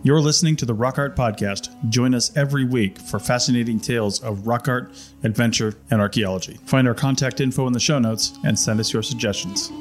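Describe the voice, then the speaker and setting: Man doing a podcast intro, steady announcer read, music bed under it. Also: mid-range voice. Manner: measured announcer delivery. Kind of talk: scripted show intro.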